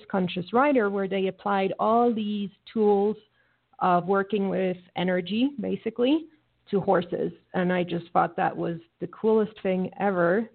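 A woman's voice: on the low side, creaky. Woman co-host on a tinny phone line. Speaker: co-host and facilitator. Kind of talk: casual online radio chat. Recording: phone-line call audio.

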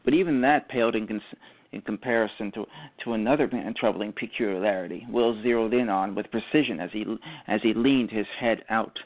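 A man giving dramatic scripted narration for an amateur radio play, halting and repeating phrases after stumbles. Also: lowish voice, no echo. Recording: tinny, narrow phone-like sound.

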